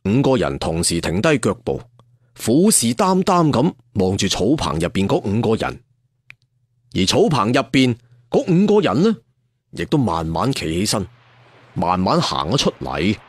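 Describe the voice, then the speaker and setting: A man narrating a martial-arts story in an expressive, dramatic way. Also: fairly low pitch, measured pace, breathy edge, no room sound, studio quality. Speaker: audiobook storyteller.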